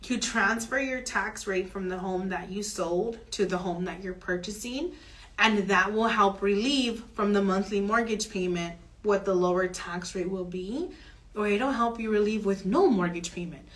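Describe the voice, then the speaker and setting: A woman mortgage broker explaining home financing options in an earnest, steady advisory chat. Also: mid-range voice, normal speed.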